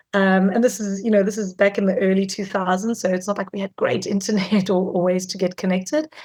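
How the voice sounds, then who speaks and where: A woman in her forties who grew up in South Africa, talking as a filmmaker guest in a relaxed interview, with lots of fillers and restarts. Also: mid-range voice. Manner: warm, chatty, many fillers and restarts. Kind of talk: conversational interview.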